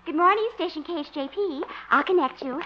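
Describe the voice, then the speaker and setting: A woman's voice: very high-pitched, a little fast, music in the background. An actress playing a chirpy switchboard operator in a 1950s radio comedy. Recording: muffled, faintly noisy old radio recording.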